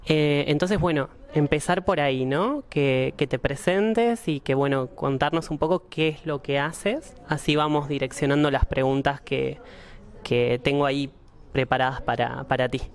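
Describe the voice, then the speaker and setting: A woman with a very deep voice hosts an interview. She speaks in a halting, casual way and fills her pauses often. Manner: halting, with frequent fillers and restarts. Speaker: interview host.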